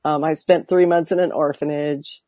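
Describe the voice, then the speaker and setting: A woman's voice: low voice, steady tone, moderate pace, mellow, no room sound, clean studio sound. A woman guest, a life coach, telling her story in a reflective, laughing way with many fillers. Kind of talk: casual interview chat.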